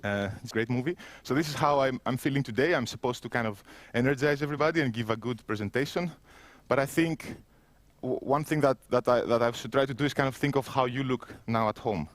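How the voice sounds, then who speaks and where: A man's voice: mid-range voice, fast talker, dry recording. Greek-accented man giving a keynote. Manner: self-mocking, conversational, with frequent restarts. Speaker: keynote speaker. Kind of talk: conference keynote. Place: empty indoor room, standing.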